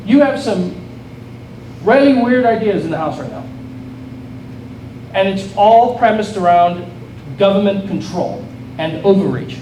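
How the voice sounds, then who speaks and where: South African man, middle-aged, high-pitched, earnest political speech, distant and echoey, noisy hall. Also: animated, measured pace, pauses often, darkish tone. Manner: earnest, rallying, repeats and restarts phrases. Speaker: state legislator giving a speech. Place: event hall with an audience.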